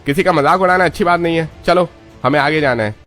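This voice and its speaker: A man plays a hearty married friend in a cartoon tale. The voice is on the high side, emphatic and familiar. Light music sits under the dialogue. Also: fast, fairly bright tone, close to an everyday voice. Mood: stern. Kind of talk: scripted cartoon story dialogue.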